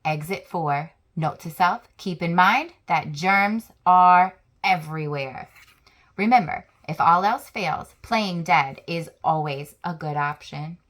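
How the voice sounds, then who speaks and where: Slow, expressive woman reading aloud to kids. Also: on the low side. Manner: expressive, lively reading with asides to young listeners. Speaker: children's book reader. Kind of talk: children's picture-book read-aloud.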